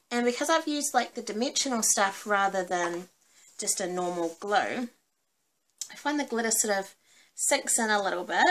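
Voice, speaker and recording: A woman's voice: mid-range voice, fairly bright tone. A woman with a New Zealand accent giving a casual, cheerful craft demonstration in an informal, chatty way. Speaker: craft tutorial host.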